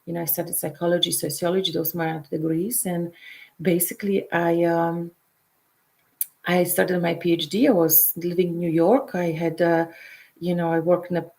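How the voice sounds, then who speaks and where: A middle-aged woman from Poland with a Polish accent, a counselor hosting a show and leading a guided meditation before telling her own story, speaking softly and sometimes dropping to a whisper. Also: lowish voice, flat delivery, vocal fry. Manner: soft, unhurried, drops to a whisper at times. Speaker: intuitive counselor and show host.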